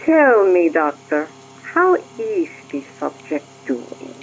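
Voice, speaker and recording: An actress playing a brisk Russian KGB mole with a Russian accent in a Cold War radio play. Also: female voice, sits low, very animated, heavily acted. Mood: businesslike, inquiring. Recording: muffled hissy spy tape with hum.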